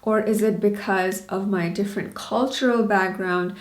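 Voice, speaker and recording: A woman's voice: medium pitch, fairly even intonation, normal speed. Woman, 30s, from Bangladesh and Canada, earnest candid vlog talk, echoey room. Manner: earnest, questioning, frequent small laughs. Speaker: vlogger.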